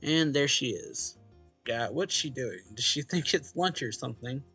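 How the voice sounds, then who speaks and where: A man's voice: medium pitch, animated, normal speed, sharp tone, breathy edge. A man reading a visual novel's narration and dialogue aloud expressively, as a let's play host. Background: game music.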